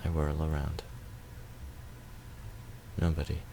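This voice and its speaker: A man reading visual novel narration aloud in a subdued, measured way with pauses. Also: a bass voice, completely flat delivery, creaky, warm tone, a little hiss or hum.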